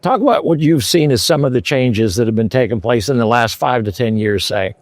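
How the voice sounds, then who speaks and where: Man, interviewer, chatty, mid pitch, dry close sound. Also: expressive, fairly quick, no room sound. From Scotland. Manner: chatty, conversational, hesitant fillers. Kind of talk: trade show interview. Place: trade show floor.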